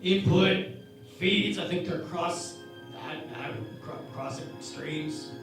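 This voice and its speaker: Man as high, creaky, bumbling starship officer. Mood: unsure, agreeable. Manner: halting, stammering, fumbling for words. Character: bumbling male ship's officer, vague and well-meaning. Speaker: actor in a parody radio play. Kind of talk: sci-fi parody radio play acting. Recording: echoey, distant, music underneath.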